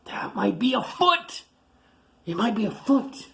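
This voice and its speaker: Animated woman reading to kids. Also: on the high side, unhurried, gravelly, a little hiss or hum. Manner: animated, gasping and exclaiming. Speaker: storyteller. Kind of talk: reading a picture book aloud to children.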